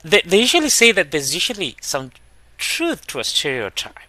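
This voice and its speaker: Lively, bright-voiced man reacting to a video. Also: high voice, moderate pace. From Kenya. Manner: animated, laughing, emphatic when riled up. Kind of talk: casual reaction commentary.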